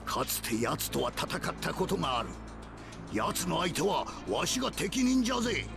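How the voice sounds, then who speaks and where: A man voicing a tiny, stubborn old ninja leader in an anime game, gravelly, gruff and grumbling. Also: a little high, very animated, normal speed. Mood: gruff, resolute. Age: older. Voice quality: creaky, gravelly. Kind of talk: Japanese anime game voice acting. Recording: loud background music bed.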